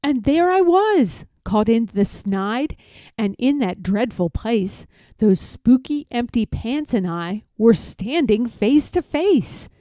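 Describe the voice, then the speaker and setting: A woman's voice: on the high side, big pitch swings, takes it slowly, warm tone, dry recording. A woman playing a timid storybook character who boasts of bravery but frightens easily, speaking with nervous, dramatic emphasis. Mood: panicked.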